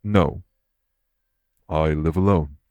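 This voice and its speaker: A man playing a courteous, dour immortal king in an audio roleplay, speaking in a formal, measured way. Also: a bass voice, darkish tone, muffled recording. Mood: courteous, reassuring. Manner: formal, measured, gravely polite. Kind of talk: immersive audio roleplay acting addressed to listener.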